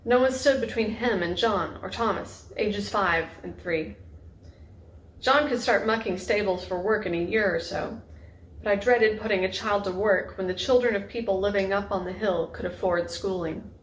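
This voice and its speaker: A woman author giving a dramatic reading of a narrative story in a creaky voice. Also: mid-range voice, normal speed. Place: indoors in a bookshop. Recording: echoey room, faint noise.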